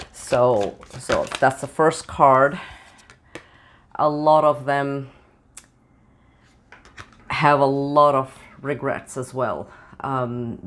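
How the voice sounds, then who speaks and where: A tarot reader recovering from the flu, voice changed by it, giving a channeled reading to camera and rambling with many fillers. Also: mid-range voice. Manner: meandering, with many "you know" and "um" fillers.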